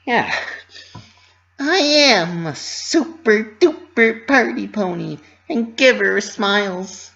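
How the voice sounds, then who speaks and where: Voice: mid-range voice, lively intonation, takes it slowly, creaky, a bit bright, muffled recording, pitched above the performer's own voice, full character voice. A storybook reader voicing an anxious, self-doubting young female party pony with panicky, self-reproaching outbursts. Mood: forced cheer.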